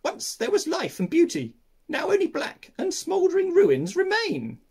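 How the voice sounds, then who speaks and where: A storyteller voicing a toucan with a loud, squawking delivery. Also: very high-pitched, wildly swooping pitch, a little slow, voice pushed up, exaggerated voice. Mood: alarmed.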